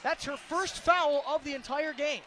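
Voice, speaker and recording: A man doing excited, projected radio play-by-play of basketball in short, clipped, rapid-fire phrases. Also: very high voice. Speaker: play-by-play commentator. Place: indoor basketball arena. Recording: loud crowd and gym noise. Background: crowd noise and bouncing basketball.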